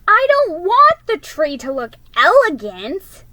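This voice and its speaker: An earnest young storybook girl, voiced by a woman, protests in a high, animated voice. She sounds sentimental and sincere. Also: takes it slowly, no echo, heavily acted. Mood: earnest, protesting.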